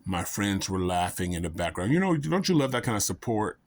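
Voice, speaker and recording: A man's voice: low-pitched, big pitch swings, brisk, darkish tone. A man vlogging in a casual, comedic storytelling style, rambling and folksy, often making fun of himself. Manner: rambling, self-mocking, folksy.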